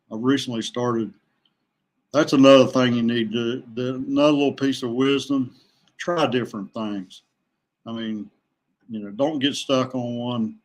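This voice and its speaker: A man in his sixties chatting casually as a podcast guest, folksy and halting, often repeating himself. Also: mid-range voice. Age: 60s. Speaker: guest, veteran kayak angler. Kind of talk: casual fishing chat.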